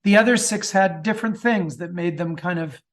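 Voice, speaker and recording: A man's voice: high-pitched, moderate pace. A man answering as a guest author in a conversational interview, often repeating words as he thinks aloud.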